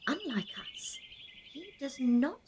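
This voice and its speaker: An actress playing a gracious, fretful ageing councillor in an audio drama, measured and formal, often sinking to a breathy whisper. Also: female voice, high voice, big pitch swings, noisy background, exaggerated voice. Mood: gently dismissive.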